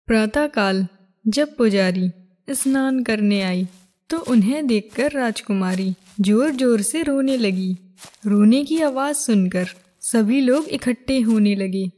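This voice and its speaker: A woman's voice: on the high side, animated, slow pace, mellow. A woman reading scripted narration for a moral cartoon story. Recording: music behind the voice.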